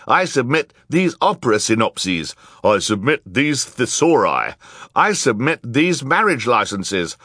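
A man's voice: mid-range voice, a bit bright, husky, no room sound, clean studio sound, pitched above the performer's own voice, heavily acted. A man voicing eager, insistent courtroom witnesses in an audiobook, each proclaiming formally. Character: crowd of eager witnesses submitting evidence, exclamatory. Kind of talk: audiobook character acting.

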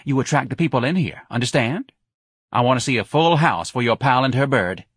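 A man's voice: medium pitch, expressive, a little fast, fairly bright tone, husky, no room sound, studio quality, voice pushed up. A man voicing a hard, scheming woman who runs a bar, curt and browbeating, in an audiobook. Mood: cold, scheming.

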